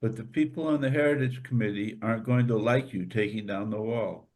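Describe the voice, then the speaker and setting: A man's voice: lowish voice, fairly quick, a little mellow, natural voice, poor audio. A man playing a frank, eager schoolboy son in a stage play, with a blunt, casual way of talking. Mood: frank, defiant. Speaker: actor in a staged reader's theater.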